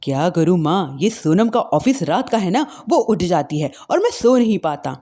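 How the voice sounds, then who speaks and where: Woman voicing a grumbling young husband, voice lowered. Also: big pitch swings, normal speed, mellow. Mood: complaining. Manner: grumbling, blunt. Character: irritated, tired young husband, grumbling and demanding.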